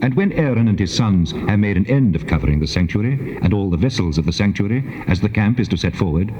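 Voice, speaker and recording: A solemn, commanding God played by a man, low and mellow. He delivers archaic scripture with stately, measured authority. The sound is an old cassette drenched in long reverb. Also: animated, dull audio, natural voice. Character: solemn, commanding God giving instructions to his people. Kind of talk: dramatized scripture reading in archaic English.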